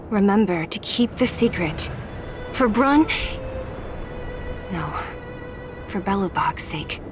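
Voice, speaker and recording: A woman's voice: medium pitch, close to an everyday voice. A streetwise young woman played by an actress with a mid-range voice. She is self-assured, casual and dry, in anime game style. A strong score plays underneath. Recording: game music behind the voice.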